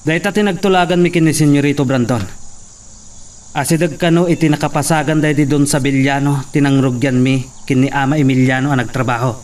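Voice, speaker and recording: A man's voice: medium pitch, steady tone, a little fast, a little mellow, far from the mic, natural voice. A man playing a dutiful, watchful henchman in a radio drama, with an earnest manner. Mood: respectful. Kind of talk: Ilocano radio drama acting.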